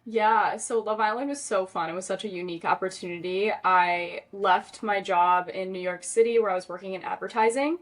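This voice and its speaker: A woman's voice: medium pitch, fairly quick, hardly any pauses. Chatty young woman telling a story. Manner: chatty, rambling, lots of "like" and "um" fillers. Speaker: podcast guest. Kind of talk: casual storytelling in an interview.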